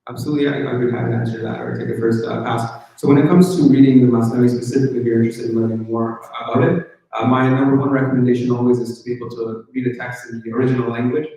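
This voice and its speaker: A man's voice: medium pitch, little pitch movement, racing, creaky, hardly any pauses, mellow, far from the mic. A man lecturing in answer to a question, laying out organized, numbered points. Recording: echoey hall, off-mic.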